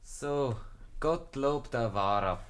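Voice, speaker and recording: A man's voice: medium pitch, animated, slow. A man playing a folksy, wry and garrulous court bailiff with a Swiss German accent in a 1950s radio comedy.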